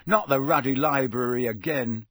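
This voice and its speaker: A man voicing an excitable, blunt teenage schoolboy in an audiobook, chatty and colloquial. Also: mid-range voice, moderate pace, dry recording, clean studio sound, lightly acted. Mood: excited. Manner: colloquial, eager. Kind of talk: audiobook character voice.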